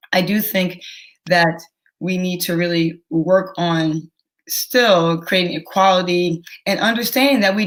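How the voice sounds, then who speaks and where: A young woman physician giving careful, educational answers as an interview guest. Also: on the low side. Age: young adult. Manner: measured, educational, careful explaining.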